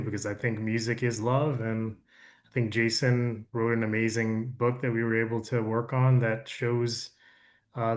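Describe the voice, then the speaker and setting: A man speaking as a producer in a casual panel conversation, explaining things in a measured way. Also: on the low side. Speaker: audiobook producer and interview guest.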